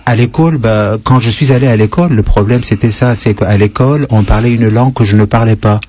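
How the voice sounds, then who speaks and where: Soft-spoken Syrian-born man in his 60s. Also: lowish voice. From northeast Syria. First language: Kurdish. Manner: thoughtful, hesitant with many pauses. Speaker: guest writer. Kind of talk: radio interview. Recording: dull radio broadcast, faint noise.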